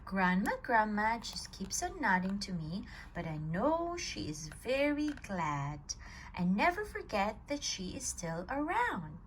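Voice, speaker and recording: A woman reading a children's picture book aloud at storytime, telling it with an expressive, swinging voice. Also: mid-range voice, unhurried, slight background noise, low-quality recording. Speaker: storytime reader.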